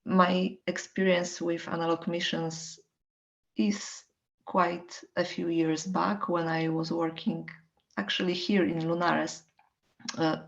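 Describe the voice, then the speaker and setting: A woman with a Polish accent introducing herself on a call, speaking haltingly. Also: low voice, gravelly. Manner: halting, with small laughs. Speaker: scientist, workshop participant. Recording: dull lo-fi call audio.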